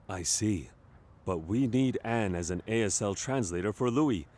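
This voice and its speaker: Guy doing a wry earnest space captain, deepish voice, swoops around a lot, comedy radio play. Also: expressive, moderate pace, darkish tone, lightly acted. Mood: earnest, explaining. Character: earnest, wry male starship captain, a wheelchair user. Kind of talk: comic sci-fi audio play acting.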